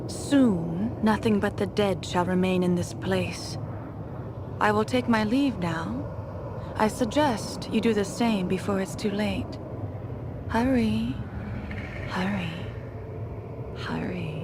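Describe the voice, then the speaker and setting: Woman doing a haughty evil lady scientist game villain, menacing and theatrical, clear noise behind her. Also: medium pitch, takes it slowly, long pauses, close to an everyday voice. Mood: cold threat. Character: haughty, sadistic female mad scientist villain who creates monsters. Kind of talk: dramatic video game villain acting.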